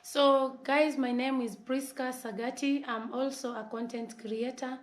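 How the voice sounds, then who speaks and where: Upbeat woman interviewer from Kenya, a bit high, echoey room. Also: steady tone, a little slow. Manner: upbeat, encouraging, frequent affirmations. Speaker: interviewer, content creator. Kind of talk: casual interview.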